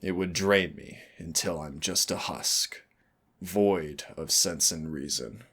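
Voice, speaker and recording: Man acting as suave immortal demon, very low voice, slow measured delivery, darkly affectionate, brooding, intimate audio roleplay. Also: a bit bright, husky, exaggerated voice. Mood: solemn, reflective. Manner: teasing endearments, measured, solemn dramatic delivery. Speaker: demon hunter's mentor and partner.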